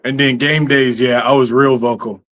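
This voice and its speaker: A man's voice: medium pitch, level tone, moderate pace, a bit bright. A middle-aged man co-hosting a casual podcast chat, easygoing and laughing a lot. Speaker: podcast co-host.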